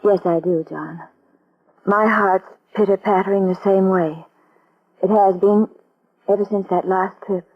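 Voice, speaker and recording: An actress playing a coy, soft-spoken, glamorous woman of mystery in a 1940s radio drama. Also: medium pitch, steady tone, measured pace, long pauses. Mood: tender. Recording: dull old radio broadcast.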